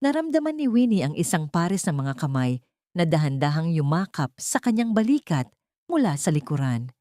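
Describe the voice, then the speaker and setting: A woman's voice: deep voice, sing-song, moderate pace, dark tone, dry recording, clean studio sound. A woman narrating a scripted dramatic story in a formal, sentimental storytelling manner. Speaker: audiobook narrator.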